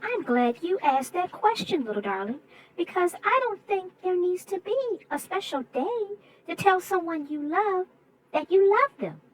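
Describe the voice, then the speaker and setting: A woman's voice: very high voice, very animated, measured pace, low-quality recording. A woman co-host chatting casually about a children's story, reflective and explaining.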